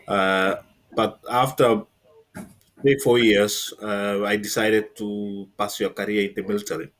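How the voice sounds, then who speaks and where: Middle-aged kenyan guy, lowish voice, interview guest explaining his story, says you know a lot. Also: steady tone, measured pace, fairly bright tone. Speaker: interview guest, former navy linguist. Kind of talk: conversational personal account.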